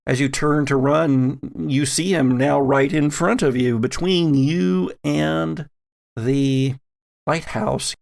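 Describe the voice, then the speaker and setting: A man narrating a tabletop horror game as game master, with deliberate, dramatic phrasing and pauses. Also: on the high side, gravelly, a little mellow.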